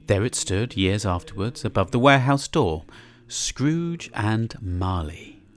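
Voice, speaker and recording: A man's voice: fairly low pitch, animated, measured pace. A man giving a live dramatic reading of a classic tale in a church, theatrical and savouring each descriptive word. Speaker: reader.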